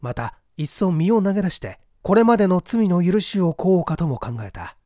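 Lively man reading a mystery novel aloud. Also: sits high, fairly quick, no room sound, studio quality. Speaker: audiobook narrator.

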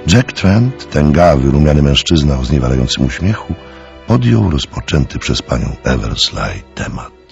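A man's voice: extremely low pitch, sing-song, normal speed, creaky. A man narrating an audio drama in a dramatic, scripted storytelling style. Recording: slightly muffled, music underneath. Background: music.

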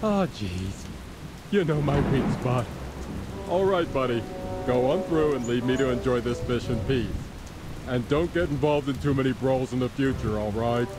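An actor playing a big, good-natured, food-loving pirate lookout in a video game. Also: male voice, medium pitch, moderate pace, lightly acted. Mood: tempted, friendly. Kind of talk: video game character acting. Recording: steady rain noise behind.

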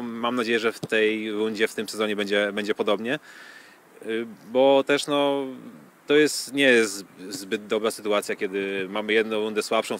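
A man in his thirties giving measured, hesitant answers in a sports interview, speaking into a handheld microphone on a pitch. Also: a bit bright. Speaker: football club official being interviewed. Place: outdoors on a football training pitch. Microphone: handheld reporter microphone. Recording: outdoor field noise.

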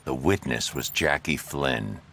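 A man playing a grim, brooding vigilante detective in a video game, low and gravelly, terse and stern. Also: unhurried, fairly bright tone, a little hiss or hum, full character voice. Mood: grim, analytical.

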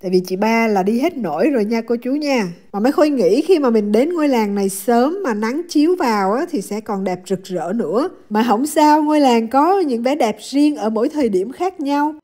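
Travel vlogger: woman, southern Vietnamese accent, slightly high pitch, dark-soft relaxed tone, chatty and affectionate casual narration. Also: normal speed, few pauses. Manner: chatty, addresses viewers affectionately.

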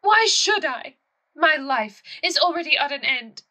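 A woman's voice: high-pitched, animated, unhurried, pushed and bright, full character voice. A woman playing a young grieving widow in an audio play, haughty and self-dramatizing, declaiming with tragic, imperious airs. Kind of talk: theatrical audio play acting.

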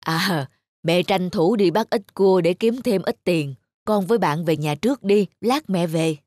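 A woman's voice: lowish voice, a little slow, a little mellow, no room sound, clean studio sound. A woman playing a patient, self-sacrificing middle-aged village mother in a cartoon. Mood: weary. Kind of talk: cartoon voice acting.

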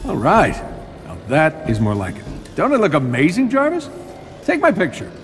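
An actor playing a cocky, wisecracking armored superhero inventor in a video game, with an American accent and glib, sarcastic banter. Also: male voice, a little high, huge pitch swings, normal speed. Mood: breezy, smug. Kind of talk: cinematic video game voice acting. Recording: loud music behind the voice.

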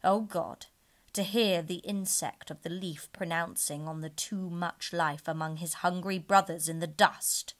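A woman voicing a stern, solemn male ghost who speaks slowly and gravely in a storybook reading. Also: medium pitch, steady tone, a bit bright, close to an everyday voice. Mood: rebuking. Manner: slow, grave and rebuking.

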